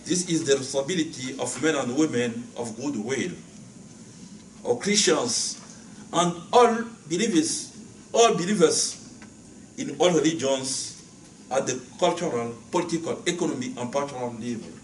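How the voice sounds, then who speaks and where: A man from Benin whose first language is Ewe, speaking English as a guest lecturer and answering questions, often pausing and repeating words as he searches for phrasing. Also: mid-range voice. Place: lecture hall. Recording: echoey hall, voice from far off, faint noise.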